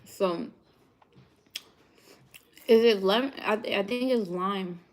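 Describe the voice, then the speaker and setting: A woman's voice: mid-range voice, takes it slowly. A woman from New York hosting a live cooking stream, chatting casually with viewers and laughing often. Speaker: cooking show host.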